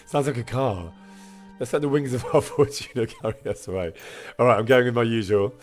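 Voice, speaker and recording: A man streaming a game with casual, self-deprecating commentary, in a husky voice, chatting with his viewers. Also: mid-range voice, brisk, soft background music.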